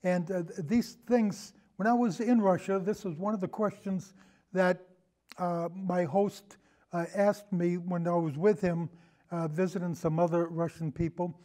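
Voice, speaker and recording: A man's voice: high-pitched, fairly even intonation, measured pace, dark tone. An older man in casual, reminiscing conversation, hesitant and prone to wandering off on digressions. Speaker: guest, amateur historian and old time radio podcaster.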